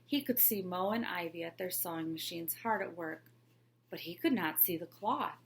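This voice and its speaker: A woman, a teacher, narrating a children's picture book aloud in a clear, expressive storytelling manner. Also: fairly low pitch, normal speed, slight background noise. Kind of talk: children's read-along narration.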